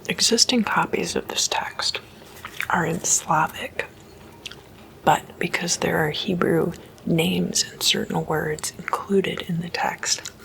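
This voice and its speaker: Slow whispering woman, ASMR storytelling. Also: on the low side, steady tone, vocal fry, rough recording. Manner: hushed, unhurried, informal explanatory delivery. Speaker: host of a spooky ASMR series.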